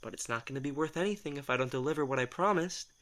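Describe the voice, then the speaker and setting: A man's voice: mid-range voice, fast talker, a bit bright, lightly acted. A man acting in an audio drama as a sardonic, exasperated assistant who reads minds, talking in a wry, defensive, rambling conversational way. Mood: matter-of-fact. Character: sardonic, exasperated male telepath, former personal assistant to a writer.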